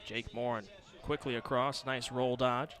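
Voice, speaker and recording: A man's voice: medium pitch, steady tone, measured pace, a bit bright. A man calling live sports as a play-by-play announcer on a broadcast.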